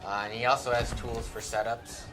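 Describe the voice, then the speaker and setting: Flat-toned man explaining over music. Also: mid-range voice, fairly quick. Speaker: game designer guest. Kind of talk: brief casual explanation.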